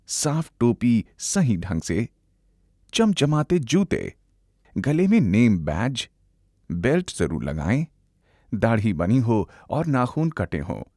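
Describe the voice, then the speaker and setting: A man presents a training film in a fairly low voice with hugely varied intonation. He speaks slowly and emphatically, instructing viewers directly. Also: a little mellow, no room sound, clean studio sound. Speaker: narrator, presenter. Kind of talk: scripted instructional narration.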